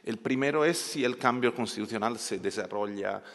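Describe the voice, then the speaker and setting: A man's voice: fairly low pitch, normal speed, creaky, a bit bright. A man whose first language is not Spanish, speaking hesitantly as a panelist at an academic panel, with many fillers and restarts. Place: large conference hall. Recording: echoey hall.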